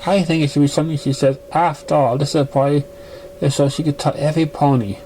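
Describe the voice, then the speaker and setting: Mellow-voiced man reading a story haltingly. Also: on the high side, fairly even intonation, rough recording. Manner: stumbling, halting reading with misread words. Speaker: amateur fan fiction reader. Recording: noticeable background noise, amateur recording.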